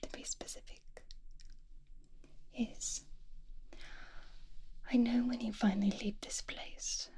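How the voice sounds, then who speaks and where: A woman playing a doting, possessive and wry mad scientist in a whispered ASMR fantasy roleplay, with a soft, breathy voice and a theatrical, coaxing manner full of endearments. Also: fairly high pitch, level tone, slow, pauses often. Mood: tender, doting.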